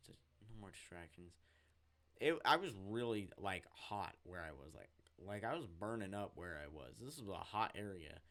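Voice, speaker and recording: A man with a raspy voice who says he is getting sick, rambling and unsure of himself, narrating his game in a casual voice-over through a headset mic. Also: low-pitched, very animated, moderate pace, a bit bright. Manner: rambling, self-interrupting, unsure. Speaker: gaming YouTuber narrating. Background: game music.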